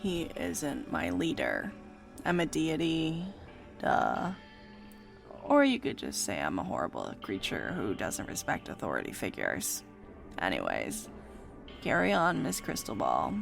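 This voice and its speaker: Woman doing a snarky vampire god, creaky voice, bratty and impatient, faint music under it. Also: a bass voice, wildly swooping pitch, a little slow, heavily acted. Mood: haughty. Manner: flippant, slangy, impatient. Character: bratty, sarcastic deity of a vampire race. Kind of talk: visual-novel audio drama.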